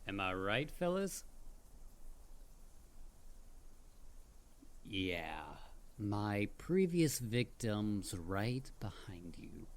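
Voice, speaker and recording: A performer voicing a mischievous, excitable headless dullahan in a roleplay audio drama, playful, theatrical and full of jokes. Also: deep voice, slow, full character voice. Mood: sly, menacing.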